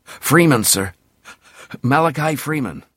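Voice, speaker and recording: A man's voice: mid-range voice, expressive, takes it slowly, a bit bright, breathy edge, no echo, clean studio sound, voice pushed up, full character voice. Man doing a humble sailor, hesitant yes-sir manner, historical novel style. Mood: deferential. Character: deferential sailor, seasoned topman, answering officer.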